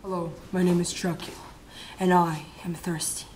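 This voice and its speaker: A woman's voice: on the low side, little pitch movement, moderate pace, darkish tone, slight background noise, natural voice. A woman playing a scheming, power-hungry male vampire count in an amateur dramatic monologue. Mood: menacing, hungry.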